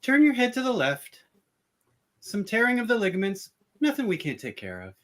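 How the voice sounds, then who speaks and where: A woman's voice: mid-range voice, huge pitch swings, normal speed. A woman playing a good-humored starship doctor in an amateur radio drama, with an easygoing, teasing bedside manner. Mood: attentive. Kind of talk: amateur radio theatre reenactment.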